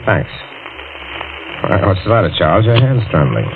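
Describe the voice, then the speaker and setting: Suave, menacing insinuator, swooping deep voice. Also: male voice, very animated, moderate pace, vocal fry, exaggerated voice. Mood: mock-casual. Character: insinuating, menacing male acquaintance toying with a suspect. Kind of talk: old-time radio drama acting. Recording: tinny, faintly noisy vintage radio broadcast.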